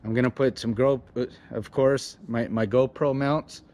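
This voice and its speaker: A man's voice: medium pitch, steady tone, moderate pace, a little mellow. A man in his forties from Illinois, chatting upbeat and casual to a handheld camera outdoors, eagerly asking viewers for advice. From Illinois, USA. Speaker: fishing channel host. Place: outdoors in front of a house garage. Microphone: camera at arm's length.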